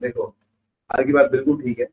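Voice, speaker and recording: A man's voice: medium pitch, little pitch movement, brisk. Man lecturing from far off in an echoey classroom. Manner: explains step by step with rhetorical questions. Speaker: instructor. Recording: echoey room, far from a phone-like mic.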